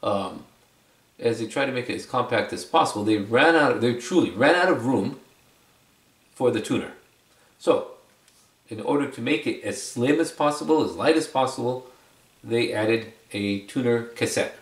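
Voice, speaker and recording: A man's voice: medium pitch, expressive, normal speed, long pauses. A man giving a casual, unscripted product demonstration in a conversational, explanatory way, repeating phrases for emphasis. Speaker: product reviewer and video presenter. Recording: roomy echo, distant microphone.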